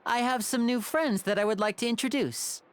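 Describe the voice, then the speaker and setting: A woman's voice: on the high side, normal speed, a little hiss or hum, lightly acted. A woman playing a gracious, formal young woman, a caring older sister, in a video game. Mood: polite, welcoming. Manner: polite, formal. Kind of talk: scripted video game dialogue.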